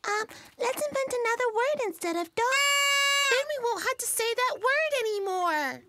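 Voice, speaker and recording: A woman's voice: very high-pitched, expressive, moderate pace, very noisy. A woman voicing a small, fretful, childlike girl in a children's cartoon. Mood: insistent. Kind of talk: animated children's cartoon dubbing. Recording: loud children's music under the voice. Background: children's music.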